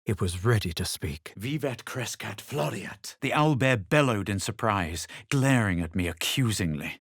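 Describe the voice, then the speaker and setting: A self-assured, superior spellcasting adventurer from a fantasy video game. The voice is low and husky, with an animated melody under formal, measured diction. It is recorded very dry and close to a game script's polish. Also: male voice, no echo, heavily acted. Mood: composed, superior. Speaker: video game player character.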